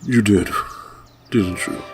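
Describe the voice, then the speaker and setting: A man voicing a gentle, grieving fatherly monster king, soothing and calm, in a fan dramatic reading with music behind him. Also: fairly low pitch, slow. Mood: fond, sad. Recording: music bed with birdsong behind.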